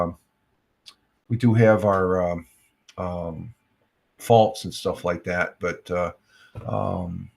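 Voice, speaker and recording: Gravelly older man rambling on a livestream. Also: lowish voice, a bit bright. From United States. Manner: rambling, many fillers and restarts. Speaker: livestream host. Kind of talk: casual livestream chat.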